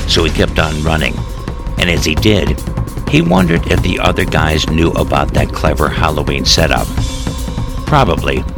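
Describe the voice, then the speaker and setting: Male author-narrator for a short story: very deep, gravelly, deliberate and dramatic, music underneath. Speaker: author reading own story. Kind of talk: scripted audiobook narration.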